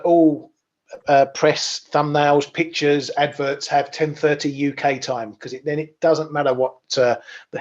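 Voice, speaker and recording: A man hosting a casual markets show, relaxed and wry, with plenty of ums. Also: medium pitch, dull audio.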